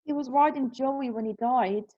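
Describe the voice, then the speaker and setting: A woman's voice: high voice, normal speed, mellow, highs cut off. A woman reading the part of an earnest young farm lad in an amateur screenplay read-through. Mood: stricken.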